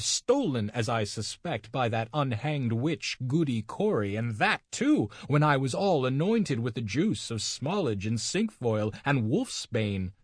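A man's voice: husky, no echo, clean studio sound, full character voice. A man voicing a cackling old female witch who acts pious but is sly. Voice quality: shrill, cackling.

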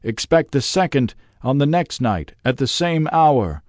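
A man voicing a mournful male ghost in chains who speaks gravely and declaims his warnings. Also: mid-range voice, very animated, measured pace, darkish tone, no echo, studio quality, voice pushed up. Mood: solemn.

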